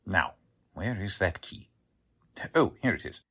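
An actor playing a polite, defensive warehouse manager in a 1940s radio drama, formal and hesitant in manner. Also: male voice, low-pitched, very animated, unhurried, very noisy. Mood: courteous, obliging. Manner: courteous, hesitant, fussily formal.

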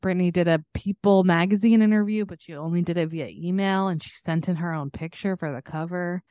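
A woman's voice: on the low side, steady tone, fairly quick, mellow, no echo, muffled recording. A woman co-hosting a podcast in casual, conversational pop-culture chat, with a giggly way of talking. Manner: giggly, laughs often while talking; conversational, frequent "like" and "you know". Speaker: podcast co-host.

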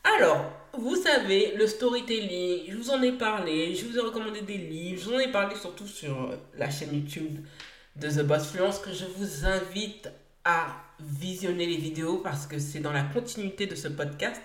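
A woman's voice: fairly low pitch, moderate pace, roomy sound. A woman from France hosting a solo podcast, speaking earnestly in short emphatic phrases with the odd hesitation. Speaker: podcast host.

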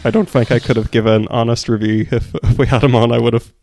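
A man's voice: mid-range voice, fairly even intonation, fast talker, mellow, a little hiss or hum. A man co-hosting a podcast, talking in a casual, conversational style. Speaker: podcast co-host. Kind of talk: casual chat.

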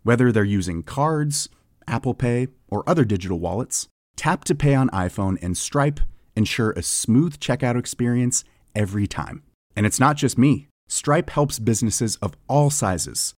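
A man reading a scripted testimonial ad in a smooth, even delivery. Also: mid-range voice, dry recording, studio quality. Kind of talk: scripted ad read.

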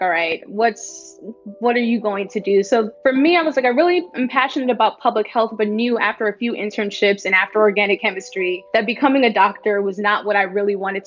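A woman's voice: medium pitch, highs cut off. A young woman from New York City with an American accent, speaking candidly and reflectively as a guest in a casual interview. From New York City, United States. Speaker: interview guest, startup founder.

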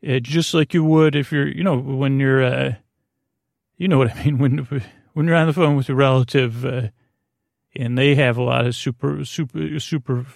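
A man with a creaky voice hosting a bedtime podcast in a meandering, halting, soothing monologue, often repeating himself and trailing off. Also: no echo, clean studio sound. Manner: soothing, low-pressure. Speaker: podcast host. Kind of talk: rambling bedtime monologue to help listeners sleep.

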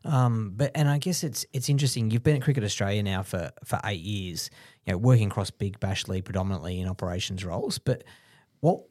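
A man's voice: fairly low pitch, fast, darkish tone, dry recording, clean studio sound. A man co-hosting a casual podcast interview, giving thoughtful, encouraging remarks and questions. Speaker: podcast co-host.

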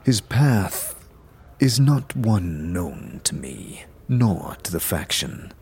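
A man voicing a grave, stony leader of a fantasy faction in an audio drama, speaking in measured, formal, terse sentences. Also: low-pitched, sing-song, gravelly, a little mellow, slight background noise, heavily acted. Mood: stern, solemn. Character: grave, stony, patient male faction elder.

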